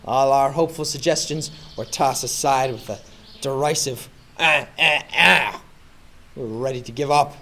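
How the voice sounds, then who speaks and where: A man's voice: mid-range voice, normal speed, bright, forceful tone. A man playing a theatrical, exasperated hero who also narrates a comic radio play. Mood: dramatic narrating.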